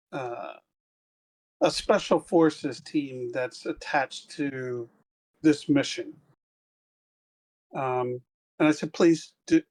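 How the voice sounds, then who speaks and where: A man playing a tabletop game, speaking in a slow, hesitant way. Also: mid-range voice, low-quality recording. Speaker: player.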